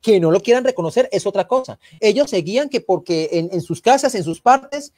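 A man with a Santander Colombian accent speaks in a fairly high voice on a live online panel show. He rambles, repeats and restarts words, and gets animated and indignant when he makes his case. The sound is dry, with no room echo. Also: fairly quick. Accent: Santander Colombian Spanish, from Barrancabermeja. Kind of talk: casual live online talk.

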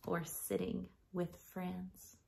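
A woman in her thirties reading a picture book to children like a schoolteacher, gently and expressively, softening to a breathy hush at line ends. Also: on the low side, completely flat delivery, slow, a little hiss or hum. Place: small living room at home.

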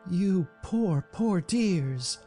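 A dainty, fretful young woman of a storybook, as a male narrator puts her on. The pitch is lifted high and swings freely. She exclaims and pleads with melodramatic feeling. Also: lively intonation, moderate pace, darkish tone, faint music behind, natural voice. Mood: sympathetic, tearful.

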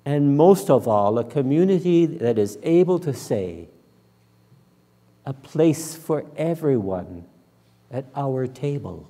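A man in his sixties, a minister preaching and praying in a measured, solemn, deliberate manner. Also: mid-range voice, lively intonation, warm tone. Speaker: minister leading worship. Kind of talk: sermon and public prayer. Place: church sanctuary, at the front and pulpit. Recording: reverberant church hall.

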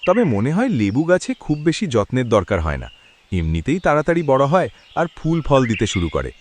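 An actor playing a friendly, hardworking village farmer in a cartoon. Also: male voice, medium pitch, fairly quick, a little hiss or hum. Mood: cheerful. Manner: friendly, animated. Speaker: farmer Faisal. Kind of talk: cartoon voice acting.

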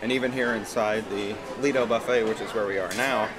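Low-voiced man giving a ship walking tour. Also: fairly even intonation, brisk, over music, poor audio. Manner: friendly explanatory, many "uh" fillers. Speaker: cruise ship tour vlogger. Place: outdoor and indoor decks of a cruise ship.

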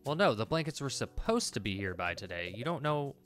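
An anxious woman from a cozy video game read aloud by a man, fretful and insistent. The tone is bright, with faint music underneath. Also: medium pitch, very animated, brisk. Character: anxious, insistent woman fretting over a late delivery.

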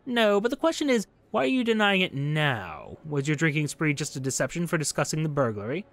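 A man's voice: on the high side, big pitch swings, fairly quick, fairly bright tone. A man voicing a stern revolutionary judge in a game, formal, commanding and probing. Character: stern male revolutionary judge questioning a defendant.

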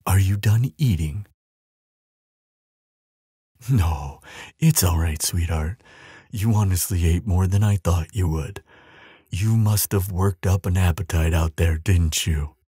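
A huge, tender giant acted out by a man with a very deep, heavily husky voice. He speaks slowly and soothingly, doting on the listener as in an intimate audio roleplay. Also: mellow, dry recording, clean studio sound, exaggerated voice. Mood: doting, gentle. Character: huge gentle male giant, tender and caring. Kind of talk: audio roleplay acting, softly spoken to the listener.

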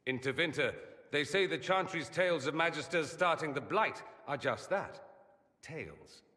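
A flamboyant young mage nobleman in a video game, full of arch, ironic wit and a bright, animated delivery that swoops up and down. He teases and performs every line, with a long reverb trailing behind his voice. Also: a little high, moderate pace. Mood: brooding. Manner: lively, teasing, theatrical. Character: witty, vain, charming male mage nobleman, young adult. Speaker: mage companion.

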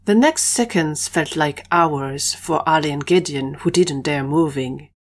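A woman's voice: low voice, a little slow. A woman reading scripted narration for an audio adventure story.

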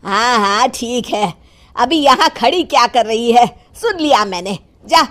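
A woman's voice: fairly high pitch, expressive, unhurried, fairly bright tone. A woman voicing a proud, bossy old grandmother in a cartoon, scolding and dismissive.

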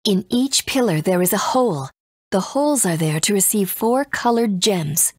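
A woman's voice: medium pitch, expressive, moderate pace, no room sound, clean studio sound, close to an everyday voice. A woman playing a composed, authoritative guide in a video game, serious and explanatory. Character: composed, authoritative woman guiding young heroes.

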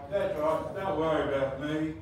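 A man's voice: a little high, normal speed, close to an everyday voice. A man playing a sad, timid lion in an amateur pantomime, reading his lines plaintively. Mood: dejected. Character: timid sad male lion lacking courage. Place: community room with seated audience, far camera. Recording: distant, echoey, noisy hall recording.

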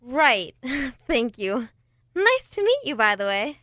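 A woman's voice: on the high side, big pitch swings, takes it slowly, sharp tone, dry recording, clean studio sound, exaggerated voice. A young woman playing an agreeable date in an absurdist radio play. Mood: friendly. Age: young adult.

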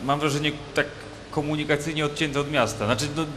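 A man's voice: fairly high pitch, fairly even intonation, fast, noisy background. Conversational male TV host in studio. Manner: conversational, prompting questions. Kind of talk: casual studio interview. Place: large TV studio.

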